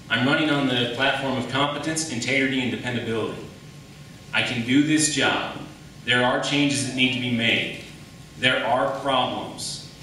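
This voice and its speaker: A man from Wyoming making his case as a candidate in a campaign debate, in a deliberate, measured way with emphatic pauses. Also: medium pitch, pushed and bright. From Wyoming, United States. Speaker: political candidate, private attorney. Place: public debate in an echoey indoor room, mic at a distance. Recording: echoey room, distant mic, faint noise.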